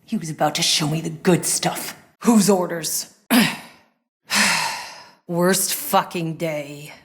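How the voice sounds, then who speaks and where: A battle-hardened woman soldier of fortune from a video game, speaking in a slightly low voice. She is dry, blunt and sardonic, with a foul-mouthed edge. The sound is clean studio-dry, with no room on it. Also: steady tone, takes it slowly, slightly rough, no echo, heavily acted. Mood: weary, sarcastic. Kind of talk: video game character voice lines.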